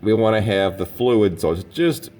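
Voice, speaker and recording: A man's voice: on the low side, expressive, a little mellow, faint music behind. A man working as a mechanic, explaining a repair step by step while congested from a slight cold. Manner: plain, unhurried how-to explaining. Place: auto repair shop.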